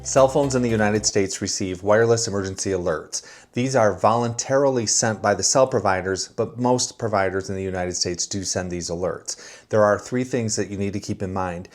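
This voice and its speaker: A man's voice: on the low side, normal speed, dry recording, soft background music. A man hosting a video, giving a casual, plainspoken product review and explaining things as he goes. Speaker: video host.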